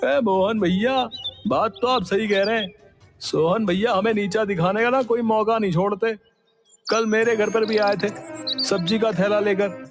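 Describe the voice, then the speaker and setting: A man's voice: high voice, steady tone, moderate pace. A man voicing an aggrieved, complaining brother in a cartoon. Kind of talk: cartoon voice acting. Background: background music.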